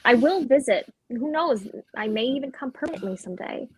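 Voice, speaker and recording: A woman's voice: sits high, lively intonation, fairly quick, breathy edge. A woman acting a restless, searching female character who longs for change, in a dramatic script reading. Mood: reflective.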